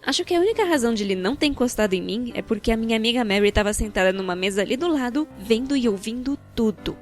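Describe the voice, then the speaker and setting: A Brazilian woman with a fairly high voice narrates a personal story from a script. Her delivery is expressive and wry, with colloquial phrasing. Music plays behind her voice. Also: fast. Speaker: narrator of a fan-dubbed animated storytime video. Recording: background music.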